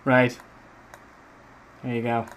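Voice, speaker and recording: A man giving a casual, hesitant software demo walkthrough as a game sound designer, thinking aloud as he goes. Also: medium pitch, completely flat delivery, slow pace, very noisy. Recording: loud music and effects behind voice. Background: loud music and game sound effects.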